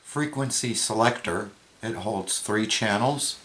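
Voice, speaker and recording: A man's voice: on the low side, slow, fairly bright tone, slight background noise, rough recording. A man giving a plain, matter-of-fact, step-by-step hands-on product demonstration. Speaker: product reviewer, presenter.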